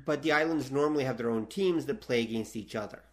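A man's voice: mid-range voice, quick pace. A man with a Canadian accent hosting a casual podcast, talking in a jokey, chatty way. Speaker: podcast host.

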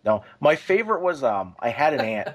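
Man, medium pitch, casual, conversational, explanatory, podcast co-host. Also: very animated, fairly quick.

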